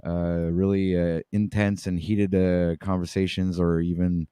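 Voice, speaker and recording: Deep, smooth-voiced stream host. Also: male voice, level tone, measured pace, dark tone, no echo, clean studio sound. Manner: emcee-like, calls the rounds. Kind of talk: live stream hosting.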